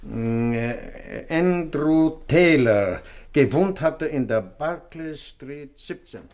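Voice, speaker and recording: An actor playing a courteous, wry and slightly crafty barrel-organ shopkeeper in a German radio crime drama, with a polite, hesitant manner. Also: male voice, on the high side, lively intonation, slow, darkish tone. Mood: helpful, confiding.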